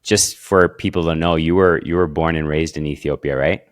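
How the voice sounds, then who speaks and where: A man with a very low voice hosts a podcast interview. He asks friendly questions in a halting way and often repeats words as he searches for the phrasing. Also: fairly even intonation. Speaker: podcast host. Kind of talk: casual interview.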